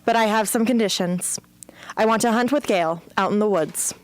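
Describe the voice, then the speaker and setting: A woman's voice: mid-range voice, a little fast, close to an everyday voice. A woman playing a troubled, determined teenage girl rebel in an amateur radio drama. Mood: pleading, firm.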